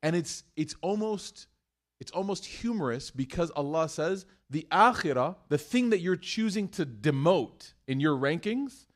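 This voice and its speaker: A man giving a live sermon to a room of listeners, chatty and joking, moving between loud emphatic bursts and hushed points. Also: a little high, lively intonation, measured pace. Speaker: mosque speaker. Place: room with an audience.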